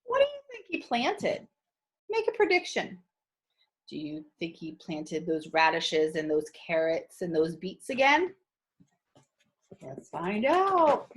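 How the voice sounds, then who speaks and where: Animated woman reading a picture book, unhurried. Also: fairly low pitch, breathy edge. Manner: animated, teacherly. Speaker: read-aloud storyteller for children. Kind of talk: expressive picture-book reading with questions to children.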